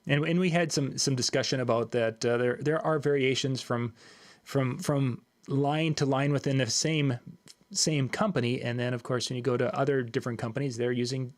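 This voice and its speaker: A man's voice: medium pitch, fairly even intonation, moderate pace. A male host chatting casually, asking questions and often restarting his phrases. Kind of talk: casual chat.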